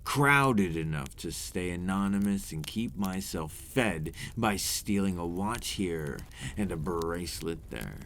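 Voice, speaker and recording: Man acting as brooding male vampire, low deep voice, slow measured delivery, remorseful, formal, close ASMR roleplay. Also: expressive, fairly bright tone, slight background noise, full character voice. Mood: somber, resigned. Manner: measured, formal, confessional storytelling. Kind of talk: intimate ASMR roleplay monologue.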